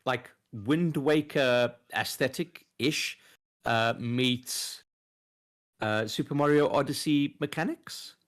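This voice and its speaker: A man's voice: mid-range voice, slow pace. A man co-hosting a podcast, chatting casually, joking and swearing freely. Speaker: departing podcast co-host. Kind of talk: casual chat.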